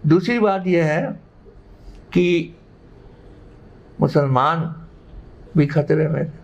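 A man, explaining things haltingly but emphatically as an interview guest. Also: a little high. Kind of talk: unscripted interview answers. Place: living room indoors. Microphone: lapel mic. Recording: slightly muffled sound.

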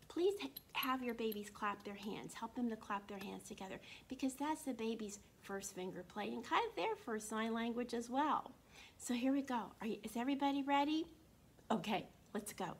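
A woman in her sixties with a slightly froggy throat, hosting an interactive read-aloud for young children in an animated, encouraging way. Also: on the high side. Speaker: children's storytime host at a library. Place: small indoor room. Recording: constant room noise.

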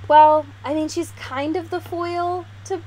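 A woman's voice: very high-pitched, steady tone, a little slow, warm tone, slight background noise, rough recording. A young woman hosting a podcast in a casual, informal, giggly way, with slang and swearing. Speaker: podcast host. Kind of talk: casual chat.

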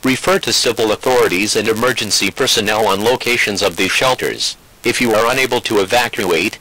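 A man's voice: on the low side, sharp tone, no echo, poor audio. A synthetic-sounding man reading a scripted emergency alert bulletin in a formal, impersonal way. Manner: formal, impersonal, synthetic text-to-speech delivery.

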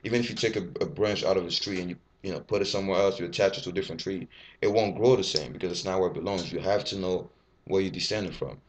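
Young man from Ivory Coast, French first language, low voice, rapid candid webcam vlog, faint hiss. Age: 20s. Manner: candid, rambling, swears freely, gestures a lot. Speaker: fitness vlogger. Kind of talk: casual personal vlog to camera. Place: small bare indoor room. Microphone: webcam at desk.

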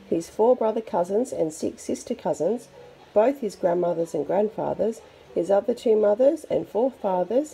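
Gravelly-edged woman reading a children's picture book. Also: mid-range voice, poor audio. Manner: expressive, with chatty asides to young listeners. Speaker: storybook reader for children.

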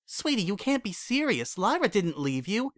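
A man's voice: high voice, sing-song, moderate pace, fairly bright tone, no room sound, pitched above the performer's own voice. A man voicing a kind, caring female pony gardener who frets and pleads with a friend, in a dry recording. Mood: worried. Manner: anxious, pleading, calls others sweetie.

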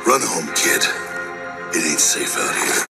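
An actor playing a grizzled, weary older vigilante soldier with a gravelly voice. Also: male voice, rumbling low, big pitch swings, a little slow, loud music behind the voice, heavily acted. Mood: weary, stern.

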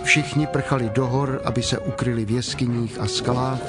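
A man narrating a dramatized scripture reading in a solemn, measured storytelling manner. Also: mid-range voice, fairly even intonation, loud music behind the voice.

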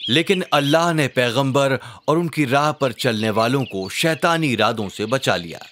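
Cartoon dad telling kids stories, really animated and encouraging, bit of background noise. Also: male voice, medium pitch. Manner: expressive, encouraging. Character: warm bespectacled father telling his child religious stories. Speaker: storyteller and narrator. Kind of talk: scripted children's story narration and quizzing.

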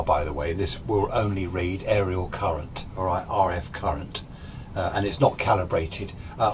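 An English man in his sixties with a very low-pitched voice talks to camera as a hobbyist host. He explains things informally and ramblingly, often rephrasing himself. The recording is made in a small workshop, with noticeable background noise. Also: darkish tone. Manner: rambling, conversational, self-correcting. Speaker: amateur radio and vintage radio video host. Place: small cluttered indoor radio workshop. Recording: clear background noise, room ambience.

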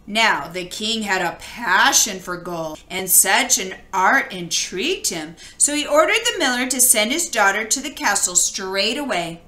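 Woman, bright forceful voice, highly varied intonation, expressive children's storybook reader. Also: measured pace, few pauses, reverberant. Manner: animated, dramatic storytelling. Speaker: children's book read-aloud reader. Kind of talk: expressive storybook reading with brief asides.

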